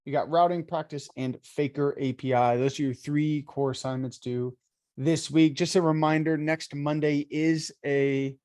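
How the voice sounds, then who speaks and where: A man's voice: a little high, fairly even intonation, moderate pace, a little mellow, dry recording, studio quality. A man with a congested, nasal voice from allergies, giving a casual online coding lecture with lots of fillers and self-corrections. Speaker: coding bootcamp instructor.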